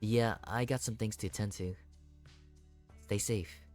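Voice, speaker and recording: A man voicing a quiet, awkward young killer crush in a horror visual novel. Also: on the low side, fairly even intonation, unhurried, faint music behind, close to an everyday voice. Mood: casual, evasive. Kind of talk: dramatic game character reading.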